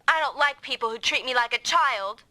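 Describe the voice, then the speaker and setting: A sharp, high-pitched little girl in an anime game dub. She is petulant and quick to take offence, and her delivery swings widely up and down. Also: animated, no echo, full character voice. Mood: indignant. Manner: sulky, petulant. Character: pouty, touchy young girl riding along as passenger. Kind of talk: anime-style video game dub acting.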